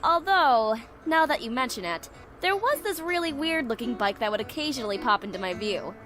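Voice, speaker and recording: High, animated schoolgirl, guarded and sarcastic, curt game dialogue over music. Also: fairly quick. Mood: dry, teasing. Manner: curt, accusatory, teasing. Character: wary, prickly schoolgirl teammate, guarded and sarcastic. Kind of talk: anime-style visual novel game acting.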